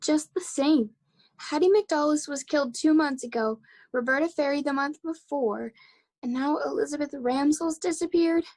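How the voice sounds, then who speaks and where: Woman as a high-voiced, nervous young townswoman. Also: normal speed, a little mellow. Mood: anxious gossip. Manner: anxious, dramatic, pleading. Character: nervous, fretful young townswoman, easily frightened. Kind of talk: 1940s radio play acting.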